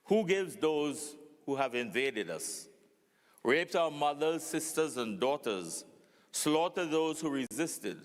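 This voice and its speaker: A man delivering a prepared speech in a formal, emphatic, oratorical manner, recorded in a reverberant hall. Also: medium pitch. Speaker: panel speaker. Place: large hall at a public forum.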